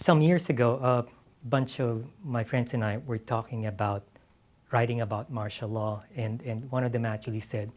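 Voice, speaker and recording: A man from the Philippines talks as an author in a live discussion of his work. His delivery is reflective and searching, with phrases started over and reshaped as he goes. His voice sits at an ordinary middle pitch. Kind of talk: reflective on-stage conversation.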